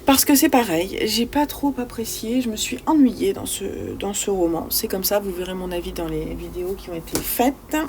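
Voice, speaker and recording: A woman's voice: medium pitch, normal speed, hardly any pauses, darkish tone, slight background noise. A woman vlogging casually about the books she has read, talking freely and often searching for her words with fillers and restarts. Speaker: book vlogger. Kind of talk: casual book review chat.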